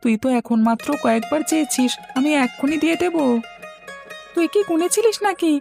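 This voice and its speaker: A woman's voice: high-pitched, animated, brisk, creaky, darkish tone. A woman voicing an innocent, stubborn young village boy in a cartoon, chattering in a childlike, plaintive way. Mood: playful bickering. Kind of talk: cartoon voice acting. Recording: music playing behind the voice.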